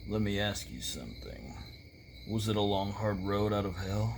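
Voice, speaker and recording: A dark shock-rock star hosting a talk show, played by a man. His voice sits low and he puts probing questions in a deliberate way. The recording has noticeable chirping noise behind it. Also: expressive, vocal fry. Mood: measured, curious.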